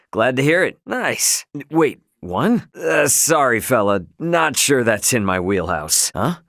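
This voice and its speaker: A man's voice: medium pitch, a bit bright, no room sound, clean studio sound. A man voicing an easygoing, friendly young trader and adventurer in a video game, with a casual, folksy delivery. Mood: helpful, casual.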